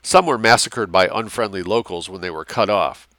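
A man's voice: low-pitched, moderate pace, a bit bright, dry recording, studio quality. A man voicing a blunt, cynical officer giving a briefing in a war novel, coarse and slangy. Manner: coarse, slangy, matter-of-fact.

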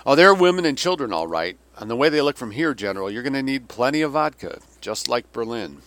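A man reading an audiobook as a jovial, wry Russian colonel, brisk and amused with his superior officer. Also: mid-range voice, big pitch swings, fairly bright tone, dry recording, voice pushed up. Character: jovial, wry Russian colonel, loyal subordinate and drinking friend. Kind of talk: dramatic audiobook character reading.

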